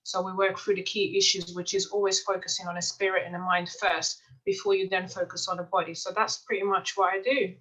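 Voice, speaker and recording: A bright, clear woman tells her stories in a relaxed, chatty way. She often restarts her sentences and fills pauses with "um" and "uh." Her pitch sits in the middle of the range. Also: low-quality recording. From Mozambique. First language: Portuguese. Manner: chatty storytelling, frequent fillers and restarts. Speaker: life coach and client. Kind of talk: testimonial interview, casual.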